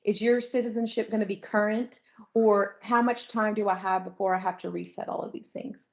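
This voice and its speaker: A woman's voice: medium pitch, steady tone, fairly quick, gravelly. A woman giving informal, friendly advice as she wraps up her part of an online lecture. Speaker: panel speaker. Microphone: video call. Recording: muffled lo-fi video call audio.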